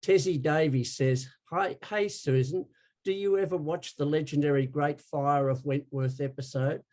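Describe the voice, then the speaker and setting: A man's voice: fairly high pitch, steady tone, moderate pace, a little mellow. A man co-hosting a casual interview, reading questions sent in by listeners. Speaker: co-host, interviewer.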